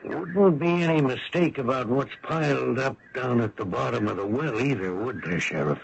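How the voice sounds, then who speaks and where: A man's voice: medium pitch, normal speed, gravelly, lightly acted, rough recording. A man playing a confident, needling character in a radio drama, with a pointed, folksy, clipped delivery. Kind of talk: 1970s-80s radio drama acting. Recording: old radio recording with faint noise.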